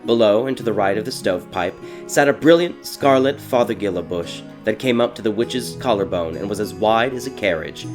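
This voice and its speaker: A man narrating a dark fairy tale audiobook in a measured, theatrical storytelling manner. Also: fairly low pitch.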